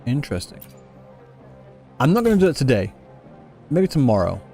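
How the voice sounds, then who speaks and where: A man's voice: medium pitch, very animated, moderate pace, vocal fry, dark tone, soft background music. A man giving casual gameplay commentary as a streamer, with offhand muttered asides. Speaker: streamer, game player.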